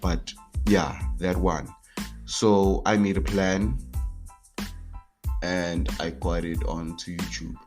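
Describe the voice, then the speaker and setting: A young man with a low voice talks slowly and loosely, restarting his sentences often. He sounds a little sick as he hosts his own podcast. Soft music plays faintly behind him. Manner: rambling, relaxed, with many fillers and restarts. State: a bit sick. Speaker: podcast host. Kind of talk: casual solo faith podcast talk.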